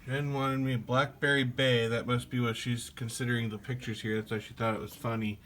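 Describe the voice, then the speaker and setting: A man hosting a podcast, casually walking through a small craft store and describing it, with relaxed, rambling talk full of fillers. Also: medium pitch, a little fast, slight background noise. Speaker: podcast host giving a store tour. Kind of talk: casual walkthrough narration.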